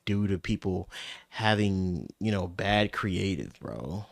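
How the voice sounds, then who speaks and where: A man with a fairly low voice reacts casually to a video as its host. His phrasing is halting and slangy, with swearing mixed in, and the sound is dry and close. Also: expressive, gravelly, no echo. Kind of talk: casual off-the-cuff reaction commentary.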